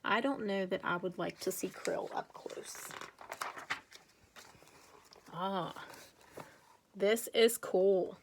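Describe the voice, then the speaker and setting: A woman teacher reading aloud to young children with chatty asides, speaking deliberately and putting questions to her listeners in an encouraging way. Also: medium pitch, steady tone, a little hiss or hum. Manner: slow, deliberate, emphatic when reading aloud.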